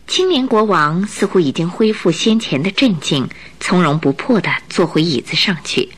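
Animated woman storyteller narrating a radio drama. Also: lowish voice, moderate pace, husky. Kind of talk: expressive scripted storytelling narration.

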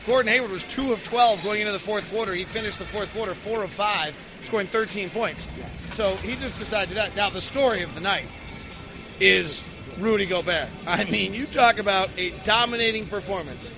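A high-voiced American man hosts a post-game basketball show over a clearly audible music bed. He breaks down the game emphatically, leaning on the important numbers. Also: normal speed, poor audio. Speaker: sports podcast host.

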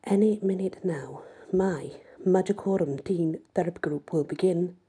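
Woman reading a story aloud, really breathy husky voice, kinda dark n mellow, sardonic. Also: fairly low pitch, normal speed. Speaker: audiobook reader. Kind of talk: first-person story narration.

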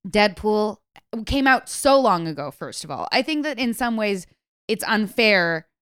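Woman chatting about movies, smooth clear voice, dry close sound, blunt opinions. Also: medium pitch, lively intonation, a little slow, a bit bright, no echo. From Serbian family. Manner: candid, opinionated, self-correcting. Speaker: film podcast co-host. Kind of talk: casual movie review chat.

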